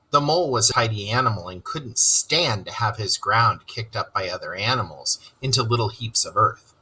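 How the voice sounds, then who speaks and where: A storyteller giving an expressive, dramatic reading of a children's story. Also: mid-range voice, slightly rough, poor audio. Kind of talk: scripted narration, children's story read-aloud.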